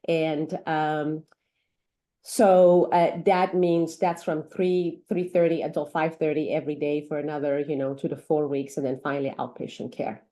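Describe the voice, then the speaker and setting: Earnest Iranian-born woman doctor, lowish voice. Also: little pitch movement, moderate pace, warm tone. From Iran. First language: not English. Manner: earnest, many fillers and restarts. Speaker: pediatric doctor. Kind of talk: interview conversation.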